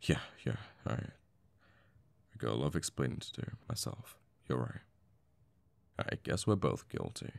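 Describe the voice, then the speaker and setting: Man acting a very deep-voiced assassin boyfriend. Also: sing-song, moderate pace, creaky, pauses often, no echo, full character voice. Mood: sheepish, confessing. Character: conflicted young male assassin boyfriend, protective and tender. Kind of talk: intimate ASMR audio roleplay.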